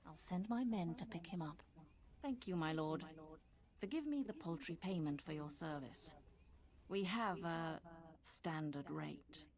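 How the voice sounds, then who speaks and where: An actress playing a businesslike, dutiful Imperial agent who speaks formally and deferentially. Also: female voice, low-pitched, unhurried, background noise.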